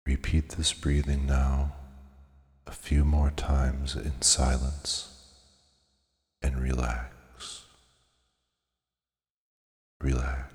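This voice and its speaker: Very deep, slow male meditation narrator. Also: completely flat delivery, darkish tone. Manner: measured, soothing, hypnotic delivery with deliberate pauses. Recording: long cavernous reverb on the voice.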